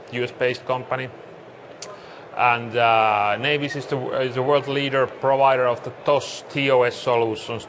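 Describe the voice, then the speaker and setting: A man from Finland with a Finnish accent gives a business presentation as a conference speaker. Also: mid-range voice. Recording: clearly noisy live room recording.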